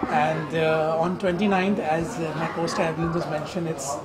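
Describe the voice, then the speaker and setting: High-voiced actor over loud noise. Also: male voice, little pitch movement, normal speed. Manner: hesitant, many fillers. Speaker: actor promoting a film. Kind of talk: press interview answers. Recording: loud background noise at a press event.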